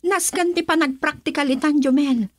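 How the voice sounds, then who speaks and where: High-voiced, headstrong young Ilocano woman played by an actress. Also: normal speed, no room sound, clean studio sound, natural voice. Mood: firm, unapologetic. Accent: Ilocano Filipino. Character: headstrong young woman set on marrying for money. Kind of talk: Ilocano radio drama acting.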